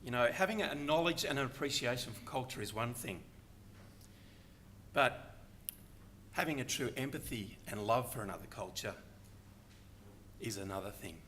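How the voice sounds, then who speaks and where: A man's voice: medium pitch, expressive, moderate pace, long pauses, a bit bright, a little hiss or hum. An older man speaking earnestly and reflectively at a conference panel, delivering a prepared talk. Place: conference hall.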